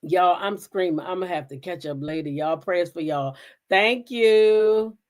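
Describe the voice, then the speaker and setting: A woman's voice: lowish voice, measured pace. A woman hosting a casual live reaction stream, talking in a blunt, profane and emphatic way. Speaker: livestream host.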